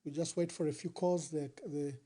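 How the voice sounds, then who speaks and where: This is a Zambian man working as a phone-in host. His voice sits high for a man, with a mellow, rounded tone. He speaks as a live presenter fielding calls and questions. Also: steady tone, moderate pace, husky. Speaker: radio call-in host.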